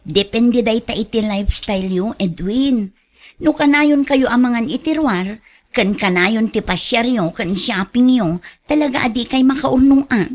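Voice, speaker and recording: A woman's voice: a little high, expressive, moderate pace. A woman playing an outspoken, sceptical, teasing female relative in an Ilocano radio drama, with an Ilocano accent. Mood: disapproving. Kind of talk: Filipino radio drama acting.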